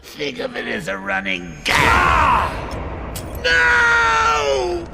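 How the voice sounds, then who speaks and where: Cackling clown supervillain, very high swooping pitch, slow drawn-out delivery, video game. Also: male voice, wildly swooping pitch, raised voice, very noisy, full character voice. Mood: manic taunting. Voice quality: gravelly, cackling.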